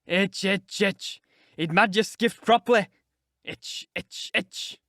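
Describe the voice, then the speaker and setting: A man voicing a spiteful, mocking old male servant, drawling slowly. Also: high voice, bright, forceful tone, no echo, clean studio sound, heavily acted. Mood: gloating. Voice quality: croaking, crackly laugh. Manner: slow, gloating drawl.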